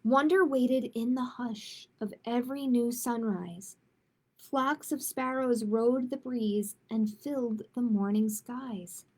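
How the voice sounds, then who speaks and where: A woman speaking as a children's storytime host, in a measured manner suited to picture books and simple chat with families. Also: fairly high pitch, warm tone. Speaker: children's librarian hosting storytime. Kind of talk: picture book read-aloud, then casual announcements.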